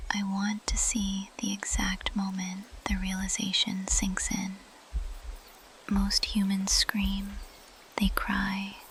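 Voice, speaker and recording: An ancient, possessive plant monster woman, acted by a woman for ASMR, whispers close to the mic. Her delivery is slow, breathy and even, teasing her prey with quiet menace. A light bed of background noise sits behind the voice. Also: mid-range voice. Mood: curious, menacing. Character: seductive, possessive female carnivorous plant monster, ancient predator. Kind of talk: ASMR roleplay monologue. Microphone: close microphone.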